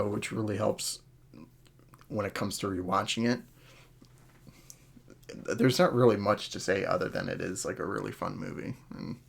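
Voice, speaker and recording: A man hosting a casual podcast chat, talking in a halting, hedging way as he thinks aloud. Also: on the low side, gravelly. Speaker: podcast host.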